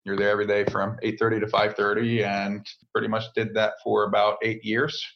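A man in his thirties, a native French speaker who grew up in the United States near Washington DC, answering interview questions in a relaxed, measured way with many filler pauses. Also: on the low side, gravelly, highs cut off. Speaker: podcast guest. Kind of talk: conversational interview. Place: home setup abroad, remote call.

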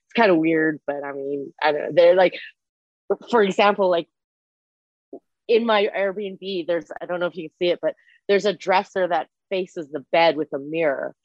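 A woman's voice: on the low side, normal speed, a little mellow, no room sound, dull audio. A young woman from Canada whose first language is Mandarin, chatting as an interview guest with many fillers and restarts. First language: Mandarin Chinese. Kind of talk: casual interview conversation. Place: Airbnb room.

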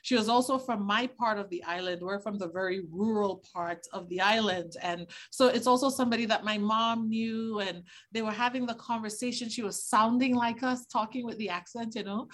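A woman doctor, giving an interview and eagerly explaining medicine and science, quick to laugh. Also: a little high, a bit bright. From Tobago, Trinidad and Tobago. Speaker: doctor, virologist and fertility specialist guest.

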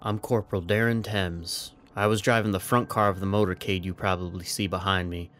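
A man playing a stranded young army corporal in an audio drama, resigned and stoic, measured and wry. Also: low voice, fairly even intonation, fairly bright tone. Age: young adult. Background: background music.

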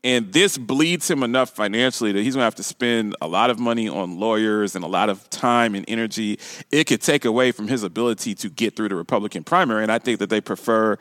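A man's voice: fairly low pitch, quick pace, a bit bright, no room sound, studio quality. A man hosting a casual talk radio show, often repeating and restarting phrases for emphasis.

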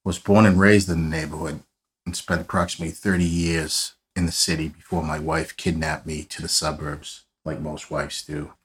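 Deep-voiced Boston man hosting a podcast. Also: flat delivery, moderate pace. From South Boston, USA. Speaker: podcast host, private investigator. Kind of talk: scripted podcast narration.

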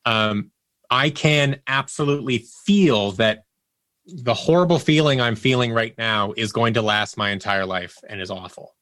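A man's voice: medium pitch, moderate pace, a bit bright, no room sound. A man speaking as an interview guest, telling personal stories candidly and reflectively, often voicing his inner thoughts as quotes. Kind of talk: candid personal storytelling in conversation.

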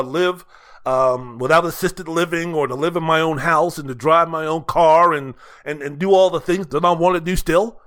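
A male sports podcaster with a notably high, sharp-edged voice. He delivers opinionated solo commentary, leaning on rhetorical questions and repetition. His delivery is emphatic and theatrical. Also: clean tone.

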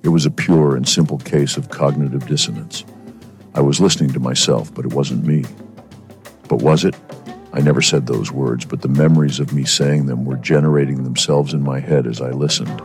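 A man's voice: very deep voice, steady tone, normal speed, a little mellow, faint music behind. A male radio co-host with a stuffy cold, presenting a scripted segment in a wry, dramatic way.

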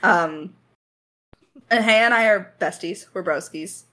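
A woman's voice: lowish voice, a little slow, sharp tone, poor audio. A woman chatting casually in a creator Q&A, effusive and playfully dramatic, rambling along in slangy speech. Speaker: lead writer and voice actor of an audio drama.

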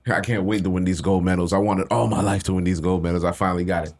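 A man's voice: low-pitched, steady tone, quick pace, darkish tone. A man co-hosting a podcast in a casual, joking chat, laughing often and swearing freely. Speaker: podcast co-host.

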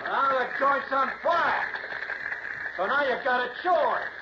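An actor in an old radio drama playing a vengeful, tough policeman, calling out loud threats and commands. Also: very high voice, expressive, measured pace. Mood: menacing. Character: vengeful tough male cop, menacing, hunting a fugitive. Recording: muffled, noisy 1960s radio broadcast.

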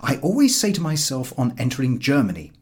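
A man reading a humorous story as an audiobook narrator, in a wry, expressive storytelling manner. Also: medium pitch, brisk.

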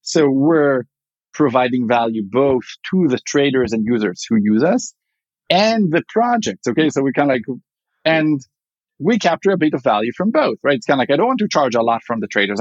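A man's voice: medium pitch, very animated, brisk, no room sound. A man with an Israeli accent talks through his answer in a loose, rambling way, full of fillers and restarts. His recording is slightly muffled and dry, with no room around it. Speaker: startup founder. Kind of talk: casual interview answer.

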